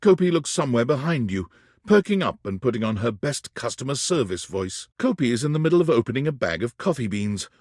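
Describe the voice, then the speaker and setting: A man's voice: medium pitch, sing-song, fairly quick, darkish tone, dry recording, clean studio sound. A man narrating a quirky romance video game in a dramatic, descriptive storytelling manner. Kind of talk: scripted game narration, second person.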